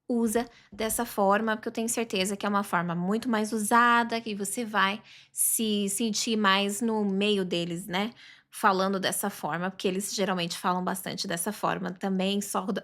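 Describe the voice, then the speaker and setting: A woman in her thirties teaching a chatty online English lesson, often checking in with the learner and slowly repeating phrases for practice. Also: on the high side, a bit bright.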